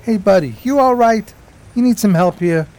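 Folksy 1920s street pal and storyteller, male actor; soft dark timbre, on the higher side, slangy and loose. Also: animated, moderate pace. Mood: concerned, coaxing. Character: streetwise, loyal homeless pal and narrator, 1920s New York. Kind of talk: radio play acting and storytelling.

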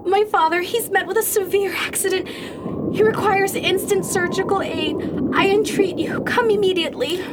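An actress playing an anxious, pleading, dutiful daughter in a radio drama, with formal, hesitant diction. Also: female voice, very high voice, steady tone, moderate pace. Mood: distraught, urgent. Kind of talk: theatrical radio drama acting. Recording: very loud noise behind voice.

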